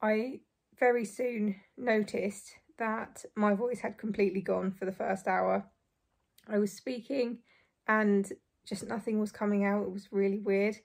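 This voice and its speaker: A young woman in her twenties, hoarse and croaky after surgery, chatting casually to camera from a small bedroom. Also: mid-range voice, level tone, a little slow, darkish tone. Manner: chatty, relieved, frequent light laughs. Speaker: vlogger. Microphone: phone or camera close in front.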